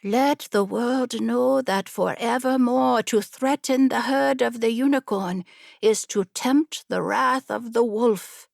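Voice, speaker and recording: Woman as a stately, slow-spoken regal unicorn. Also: fairly high pitch, gravelly, dry recording, clean studio sound. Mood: solemn proclamation. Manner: stately, proclaiming. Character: solemn, regal unicorn herd leader in a fable.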